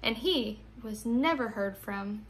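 A young American woman with a high voice speaks as a teacher to children. Her delivery is expressive, in the manner of someone reading a storybook aloud. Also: slow pace. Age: young adult.

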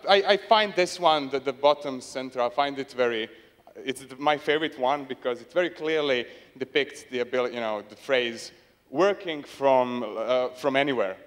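A young man presenting on a conference stage with a handheld mic, dryly humorous and hesitant, with plenty of fillers. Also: medium pitch. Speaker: conference speaker. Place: large conference hall stage. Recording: long hall reverb.

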